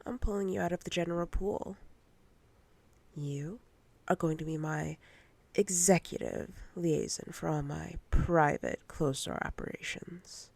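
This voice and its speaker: A woman playing a dominant, possessive female boss in roleplay ASMR, commanding and teasing in a breathy, often whispered voice. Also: deep voice, big pitch swings, darkish tone, no room sound, heavily acted. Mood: seductive, controlling. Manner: slow, deliberate, commanding.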